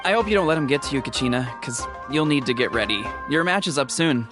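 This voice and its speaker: A man voicing a calm, caring tournament staffer in a video game. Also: mid-range voice, quick pace, music in the background, lightly acted. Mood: calm concern.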